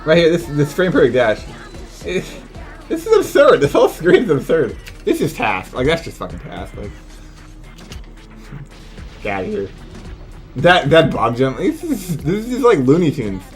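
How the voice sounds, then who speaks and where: A man's voice: a little high, huge pitch swings, moderate pace, long pauses, soft background music. A man giving casual, incredulous live commentary as a video game streamer, exclaiming and swearing freely.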